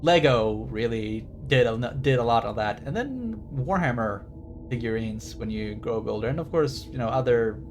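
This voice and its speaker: A man's voice: mid-range voice, a little mellow, slight background noise. A man livestreaming a game, reading text aloud and drifting into rambling, enthusiastic asides. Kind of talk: game stream commentary, reading on-screen text aloud and chatting.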